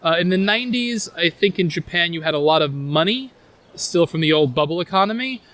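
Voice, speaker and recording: A man with a New York accent talks through a casual walking tour outdoors, his voice sitting a little higher than most men's. He rambles enthusiastically, circling back with fillers and restarts as he explains the neighborhood. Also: lively intonation, brisk, a bit bright. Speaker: tour guide. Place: outdoors on city streets.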